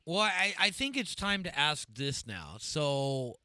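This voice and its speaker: A man's voice: fairly high pitch, very animated, slow, sharp tone, no echo, studio quality. A man hosting a casual podcast, chatty and full of fillers, reading chat comments aloud. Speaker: podcast host.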